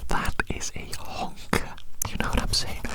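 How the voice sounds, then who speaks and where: Unvoiced whisper, breathy, close-miked ASMR, rambling, repeated phrases, personal attention. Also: measured pace. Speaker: ASMR video creator. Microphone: very close to the microphone.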